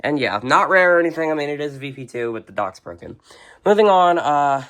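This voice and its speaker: A video host giving a casual, unscripted show-and-tell indoors in an informal, halting way. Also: medium pitch, animated. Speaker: video host and weather radio collector. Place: indoors, in a room with shelves of radios.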